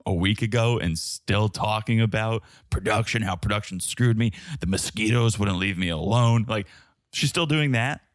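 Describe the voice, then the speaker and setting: A man's voice: on the low side, moderate pace, no echo. A man with a Southern American accent co-hosting a reality TV podcast in casual chat, with dry asides. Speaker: podcast co-host.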